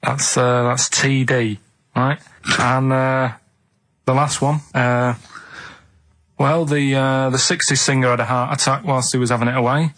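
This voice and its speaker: A man reading out quiz clues and chatting casually on a radio show, in a halting, matter-of-fact way. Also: medium pitch, little pitch movement, a bit bright. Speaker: radio show regular running a quiz feature. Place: radio studio.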